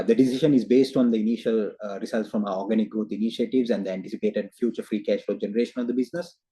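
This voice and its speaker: Husky-edged man presenting on an earnings call. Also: fairly low pitch, dark tone, low-quality recording. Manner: hesitant, halting delivery with frequent uhs. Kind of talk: formal investor presentation, answering questions. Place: video call.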